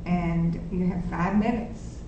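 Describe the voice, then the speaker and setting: A woman chairing a public board meeting, running the agenda in a brisk, procedural way. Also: fairly low pitch, fairly even intonation, noisy background. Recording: muffled, echoey room from far off.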